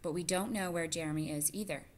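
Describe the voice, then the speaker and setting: A woman reading the part of a careful mother mouse in a storybook, measured and gently reasoning. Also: fairly low pitch, natural voice.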